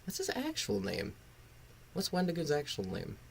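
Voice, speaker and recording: A man's voice: on the high side, huge pitch swings, normal speed, creaky, breathy edge. A man hosting a casual live reaction stream, rambling and swearing, bluntly critical with a sarcastic, self-deprecating streak. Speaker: livestream host and reviewer.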